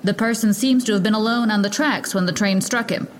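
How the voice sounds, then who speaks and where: A woman acting as a police lieutenant in an audio drama, American, stern and short on patience. She delivers her words in a formal, official, authoritative way at a middling pitch. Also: brisk, slight background noise, lightly acted. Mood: firm, official. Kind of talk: audio drama press briefing.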